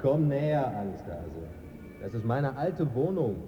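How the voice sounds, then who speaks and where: A man's voice: medium pitch, very animated, a little slow, lo-fi sound, noisy background. A man acting in a German radio play as a dead writer, a bantering, evasive, pleasure-loving friend who savours his words. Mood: mocking.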